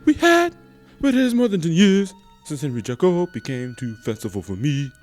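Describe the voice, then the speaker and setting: A man's voice: on the high side, big pitch swings, moderate pace, a little mellow, faint music behind. A man playing a testy, blustering old doctor in a radio play, with theatrical exclamations. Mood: indignant, blustering. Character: blustery, testy old male doctor, jovial then indignant.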